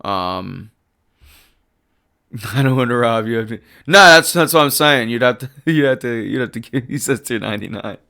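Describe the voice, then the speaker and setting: Hoarse, animated sports host. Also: male voice, mid-range voice, normal speed. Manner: animated and emphatic, rattling off stats. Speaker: livestream sports show host. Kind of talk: casual sports talk reading chat.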